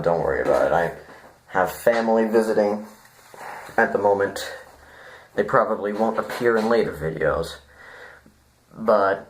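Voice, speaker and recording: Man, slightly deep voice, very slow pace, deadpan delivery, rambling informal video critique, thinking aloud. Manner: deadpan, self-deprecating, digressive. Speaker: video reviewer.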